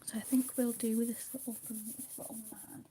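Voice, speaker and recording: A woman chatting casually with her viewers while she colours, speaking softly, often half in a whisper and a little hesitant. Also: on the high side, breathy edge. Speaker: livestream host.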